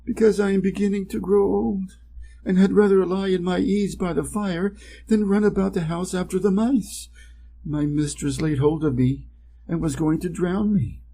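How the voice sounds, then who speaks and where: A man's voice: high-pitched, fairly even intonation, moderate pace, vocal fry, warm tone, slight background noise, pitched above the performer's own voice. A man with an American accent voicing a rueful, put-upon old female cat in a storybook, sighing and plaintive.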